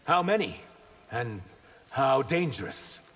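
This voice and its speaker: An actor playing an earnest, wary young reptilian shapeshifter warrior in video game dialogue. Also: male voice, medium pitch, sing-song, slow. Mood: grave, resolute. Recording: reverberant game arena, noisy background.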